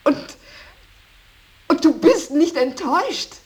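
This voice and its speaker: Hushed, reserved, sceptical woman. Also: sits high, sing-song, takes it slowly, full character voice. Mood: probing, hesitant. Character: Michaeline, reserved, sceptical daughter of a revered painter. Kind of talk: 1980s German radio drama acting.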